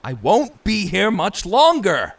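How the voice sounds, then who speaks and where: An actor playing a tired, desperate, stubborn castaway in a fantasy audio drama, halting and guarded in his speech. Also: high-pitched, wildly swooping pitch, creaky, full character voice. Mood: weary, dismissive.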